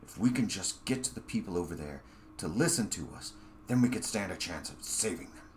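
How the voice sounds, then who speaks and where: A man voicing a forceful, self-righteous leader in a story, rallying followers with emphatic, oratorical speech. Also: low voice, animated, fairly quick, a little hiss or hum. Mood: insistent persuasion.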